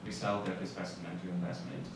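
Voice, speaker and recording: Man, very low voice, halting speech with fillers, distant mic in a noisy reverberant hall. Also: level tone. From Switzerland. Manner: hesitant, many fillers. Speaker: startup executive on a panel. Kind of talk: panel introduction. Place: conference hall. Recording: echoey hall from far off, noisy.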